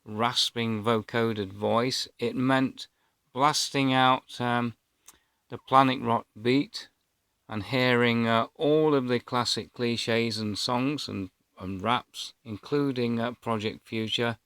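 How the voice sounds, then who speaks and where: A man's voice: medium pitch, steady tone, takes it slowly, fairly bright tone, smooth, no echo, clean studio sound. A man, telling a personal story casually to camera in a hesitant, rambling way. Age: 50s. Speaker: vlogger.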